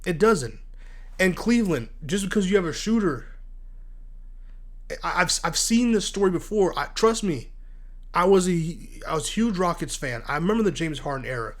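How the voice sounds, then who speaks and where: A man's voice: high voice, moderate pace. Congested man giving blunt basketball takes. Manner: blunt, animated, repeats words when worked up. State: congested from asthma and allergies. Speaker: sports commentary host. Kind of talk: casual solo sports talk.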